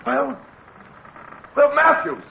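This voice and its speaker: An actor playing a young, proud and impulsive British naval midshipman in a radio drama, excitable and given to urgent, clipped commands. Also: very high voice, extremely slow, gravelly, exaggerated voice. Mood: excited, urgent. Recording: muffled, noisy old radio recording.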